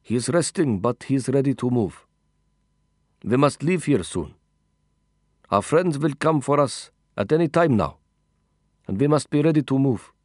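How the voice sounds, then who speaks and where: A man voicing an earnest, solicitous male war comrade who speaks in halting, non-native phrasing in an audiobook story. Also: medium pitch, lively intonation, darkish tone, no room sound, clean studio sound, voice pushed up, close to an everyday voice. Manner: halting foreign-learner phrasing.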